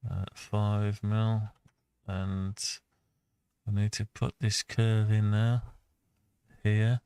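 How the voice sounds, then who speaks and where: A man's voice: fairly low pitch, completely flat delivery, extremely slow, mellow, clean tone. A man giving a casual think-aloud tutorial, muttering to himself as he talks through each step. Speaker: host of a CAD design tutorial.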